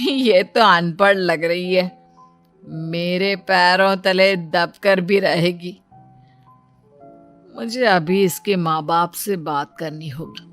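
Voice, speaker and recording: A woman's voice: lowish voice, slow pace, fairly bright tone. Haughty scheming rich mother-in-law, voiced by a woman. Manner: imperious, calculating. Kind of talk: storybook character acting. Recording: music bed behind the voice.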